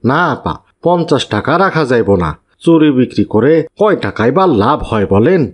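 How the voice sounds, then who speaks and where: A man's voice: mid-range voice, sing-song, moderate pace. A man voicing a village bangle seller in a cartoon, coaxing customers like a street hawker. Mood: haggling. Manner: calling out, coaxing. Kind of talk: cartoon voice acting.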